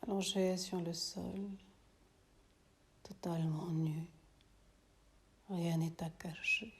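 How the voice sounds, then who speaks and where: A woman teaching yoga nidra, guiding a meditation in a soothing, hushed, breathy delivery that often drops to a near whisper, with long pauses. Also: lowish voice, steady tone. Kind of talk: guided meditation.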